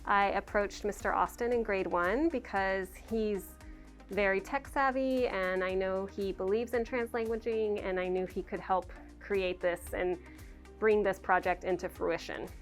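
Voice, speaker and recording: A woman teacher speaking in a documentary interview, explaining her classroom project with quick enthusiasm. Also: mid-range voice, steady tone, soft background music. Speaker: elementary school teacher.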